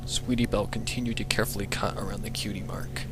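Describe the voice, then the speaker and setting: A man reading a scripted dark story as narrator, in a dramatic, ominous manner. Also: lowish voice, steady tone, fast, loud music behind the voice.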